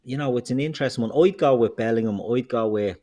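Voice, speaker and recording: A man's voice: mid-range voice, fast talker, warm tone, no echo. A man co-hosting a casual call-in show, thinking aloud and changing his mind mid-sentence. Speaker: co-host.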